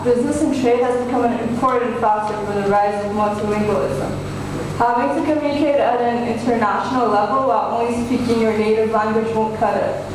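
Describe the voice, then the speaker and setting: A young woman who is a student speaker, giving a rehearsed presentation to an audience. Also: on the high side. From household speaking English and Hindi. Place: large echoey indoor event hall. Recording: very echoey hall, distant, noisy.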